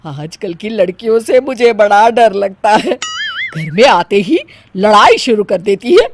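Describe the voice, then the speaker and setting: A woman's voice: mid-range voice, expressive, unhurried, over music, natural voice. A woman voicing a melodramatic, stubborn middle-aged Indian mother in a cartoon moral story, with a dramatic, whiny delivery. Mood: fretful. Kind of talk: animated moral story acting.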